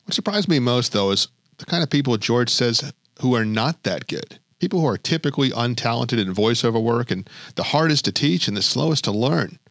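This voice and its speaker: Man, host narration, wry and light, middle pitch, dry close sound. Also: lively intonation, brisk, few pauses, fairly bright tone, no room sound. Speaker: podcast host. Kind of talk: scripted narration.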